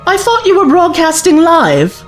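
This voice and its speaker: An actress playing a spirited, cheeky young woman companion in a science fiction audio drama, expressive and teasing. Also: very high-pitched, unhurried, faint music behind, full character voice. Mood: wry.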